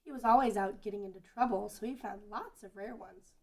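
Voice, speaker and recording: A woman's voice: mid-range voice, fairly quick, darkish tone, close to an everyday voice. A woman playing an innocent, earnest young girl who loves bugs, in an amateur fantasy table read. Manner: childlike, simple and earnest. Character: innocent bug-loving young girl, sweet and childlike. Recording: distant from the microphone.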